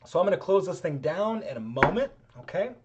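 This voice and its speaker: A man's voice: high-pitched, very animated, fairly quick, lo-fi sound, noisy background. A middle-aged man teaching a chemistry lab tutorial in a casual, rambling way, his voice worn out. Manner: casual, rambling, self-deprecating. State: voice getting worn out. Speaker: chemistry professor. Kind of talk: screen-recorded lab tutorial.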